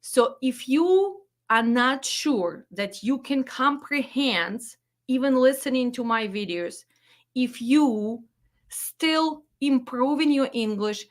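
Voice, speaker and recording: A woman from Ukraine with a Ukrainian accent, a trucking dispatch trainer speaking directly and firmly as she promotes her webinars. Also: on the high side. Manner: direct, firm and blunt.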